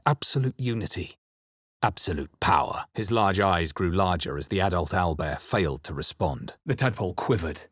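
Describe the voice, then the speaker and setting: A grave adventurer recounts dark fantasy memories in a video game. His voice is very low and husky. The delivery is introspective and deliberate, recorded completely dry. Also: animated, no room sound. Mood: brooding. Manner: grave, deliberate, literary storytelling. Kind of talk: scripted first-person game narration.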